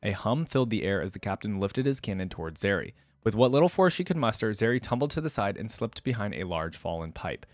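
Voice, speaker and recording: Low-voiced man, casual reaction host. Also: big pitch swings, quick pace, few pauses, no room sound. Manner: informal, enthusiastic fan. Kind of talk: casual reading and commentary.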